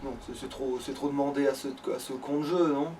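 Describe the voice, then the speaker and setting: A man's voice: mid-range voice, fairly even intonation, fairly quick. A man giving casual gaming commentary while he plays, swearing freely and often restarting his sentences. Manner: crude, swears freely, rambling and self-interrupting. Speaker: let's play gamer at the controls. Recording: distant mic with faint background noise.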